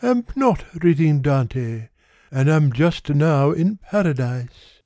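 A languid young fop from a classic storybook, played by a male reader, with a soft mellow tone and swooping intonation. He is courteous, affected and too indolent to exert himself. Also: a little high, big pitch swings, takes it slowly, no room sound, clean studio sound, heavily acted. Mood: languid, gallant. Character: languid, foppish, indolent young gentleman, politely bored. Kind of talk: dramatised classic novel reading.

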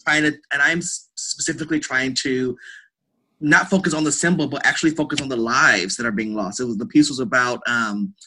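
A man with a bright, crisp-edged voice talks as a guest in a casual interview. He works through his ideas aloud, often restarting a phrase. He checks in with the listener as he goes. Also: mid-range voice, fairly quick, rough recording. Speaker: podcast guest, theatre artist and academic.

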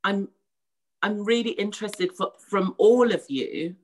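A woman moderating a panel, enthusiastic and halting, with many restarts. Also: medium pitch, animated. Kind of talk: hosting a panel talk.

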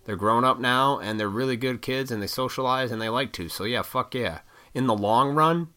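A man in his thirties ranting to camera in a rambling, profane way, his throat froggy and needing clearing. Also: mid-range voice, normal speed, sharp tone. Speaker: vlogger. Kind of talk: rambling casual rant to camera.

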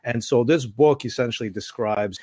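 A middle-aged man from Canada, an author on public speaking, answering interview questions in a measured, explanatory way. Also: darkish tone, no echo. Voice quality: deep voice. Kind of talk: interview guest.

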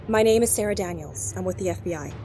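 A woman's voice: fairly low pitch, quick pace, mellow, soft background music, close to an everyday voice. Actress as a no-nonsense female FBI agent. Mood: calm, authoritative. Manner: authoritative, no-nonsense, terse. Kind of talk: audio drama acting.

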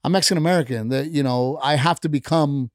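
A man's voice: on the high side, fast talker, no room sound, studio quality. A middle-aged man, a stand-up comedian and podcast guest, talking bluntly and heatedly in casual chat, with plenty of profanity. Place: podcast studio.

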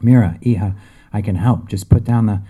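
A man reading the lines of an older priest who pleads gently. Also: fairly low pitch, moderate pace, husky. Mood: pleading.

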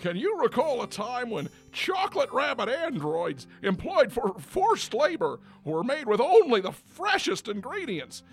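A man voicing a cranky, excitable old rabbit with folksy, theatrical delivery in a spoof radio commercial. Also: very high-pitched, unhurried, few pauses, faint music behind, exaggerated voice. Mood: nostalgic.

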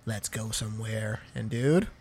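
A man's voice: lowish voice, measured pace, a little mellow, husky. Laid-back swearing man saying dude. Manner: stammers and restarts phrases. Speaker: podcast co-host. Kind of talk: casual chat.

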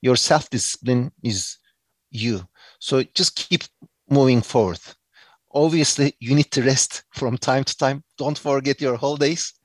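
A man's voice: mid-range voice, a little slow, husky, no echo. A man from Turkey with a Turkish accent, giving earnest, reflective answers as an interview guest, with many fillers and restarts.

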